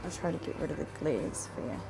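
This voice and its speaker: A woman's voice: low voice, big pitch swings, fast, vocal fry, heavy background noise. A woman with a New Zealand accent, chatting casually as she films her home fish room, rambling with plenty of ums and restarts. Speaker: fishkeeping vlogger. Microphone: handheld camera.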